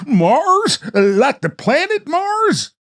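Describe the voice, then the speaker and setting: A man doing a high-pitched, incredulous young grandson. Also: vocal fry, a bit bright, heavily acted. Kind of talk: hobby radio play acting.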